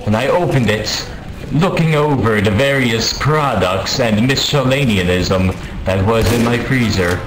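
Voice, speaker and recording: A man telling a mock-dramatic comic story over game voice chat, pompous and deliberate, with profanity thrown in. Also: medium pitch. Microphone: gaming voice chat microphone. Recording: roomy, noisy game voice-chat audio.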